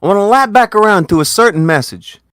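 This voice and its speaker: A man hosting a casual online talk show, joking and swearing, with bursts of loud excitement. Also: high voice, fast, smooth, no room sound, studio quality. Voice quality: lively, swings into loud bursts. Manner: profane, jokey, talks with laughs. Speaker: podcast host. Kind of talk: casual chat.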